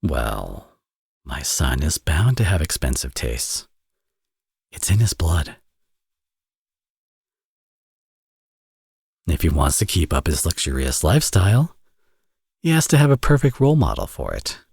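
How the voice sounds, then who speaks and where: A man acts out a teasing villain turned doting father for an ASMR romance roleplay. His voice is low and husky, recorded close and dry. He sounds smug and playful, talking as if to an old flame. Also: very animated, moderate pace, darkish tone, dry recording, exaggerated voice. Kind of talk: ASMR audio roleplay, romantic drama acting.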